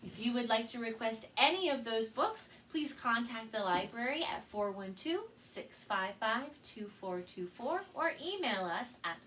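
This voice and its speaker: Bright, animated woman presenting for children. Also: a little high, unhurried, hardly any pauses. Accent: American. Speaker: children's librarian and storytime host. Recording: distant mic with faint background noise.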